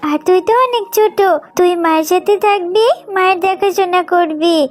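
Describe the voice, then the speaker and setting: A woman's voice: very high voice, brisk. A woman voicing a dutiful little fox girl in a children's cartoon, earnest and childlike.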